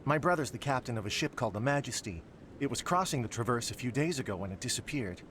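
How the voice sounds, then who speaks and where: A male actor plays a worried, guarded man in a video game scene. His voice sits at an average male pitch and holds on to some hope. A low rumbling noise runs underneath. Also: expressive, fast talker, a bit bright, natural voice. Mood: worried, determined. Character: worried man preoccupied with his missing brother, guarded but hopeful. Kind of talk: video game dialogue acting.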